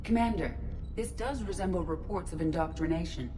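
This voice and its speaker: Woman voicing a calm formal robot lady ai from a game, slightly low, music under. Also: fairly quick, a little hiss or hum, lightly acted. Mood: calm, analytical. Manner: formal, precise. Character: composed, formal, helpful female artificial intelligence. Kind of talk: video game voice acting.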